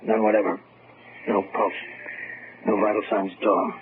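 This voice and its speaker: A thin radio recording with faint noise carries a man acting a skeptical family doctor. He sounds fairly low and world-weary, choosing his words with deliberate pauses in classic radio drama style. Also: flat delivery, narrow, tinny audio, close to an everyday voice. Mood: grave, dry. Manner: measured, deliberate, pausing.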